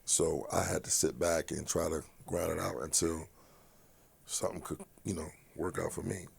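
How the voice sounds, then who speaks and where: A man's voice: low-pitched, steady tone, normal speed, creaky, slightly rough. A middle-aged man from Philadelphia with a big voice, talking bluntly and with profanity as a guest in a casual interview.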